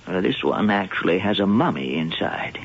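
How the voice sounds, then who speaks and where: A scholarly male professor in an old radio play. His gravelly voice swings expressively yet stays measured and hesitant. The recording is dull and aged. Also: sits low, big pitch swings, a little hiss or hum, exaggerated voice. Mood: scholarly, guarded. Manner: hesitant, deliberate, with ums and pauses. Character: learned, measured male museum professor, expert on cats. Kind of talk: old-time radio drama acting.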